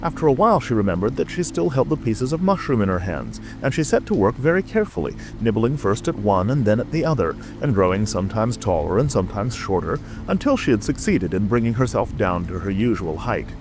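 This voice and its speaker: Low-pitched, expressive male storyteller. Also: fairly quick, hardly any pauses, noisy background. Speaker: audiobook narrator. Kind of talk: scripted storybook narration.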